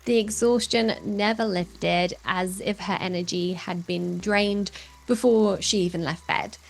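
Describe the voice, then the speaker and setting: A woman's voice: mid-range voice, steady tone, a little slow, vocal fry, poor audio. A woman hosting a podcast, narrating a scripted intro and then interviewing a guest with encouraging questions. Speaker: podcast host.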